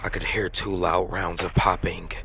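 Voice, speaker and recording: A man's voice: low-pitched, level tone, moderate pace, gravelly, pushed and bright. A man reading scripted first-person horror narration as a podcast narrator. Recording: faint background noise.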